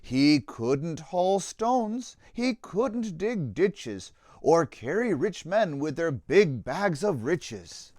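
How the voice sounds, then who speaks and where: Guy reading rhyming kids story like a pastor to little ones, slow, super animated pitch, sing-song. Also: no room sound, clean studio sound. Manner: dramatic, deliberate, sing-song storytelling delivery.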